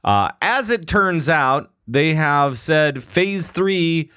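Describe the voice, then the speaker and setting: A man's voice: fairly high pitch, lively intonation, takes it slowly, pushed and bright, clean tone, no room sound, studio quality. A man hosting a casual gaming podcast, talking loosely with lots of fillers and restarts. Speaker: podcast host.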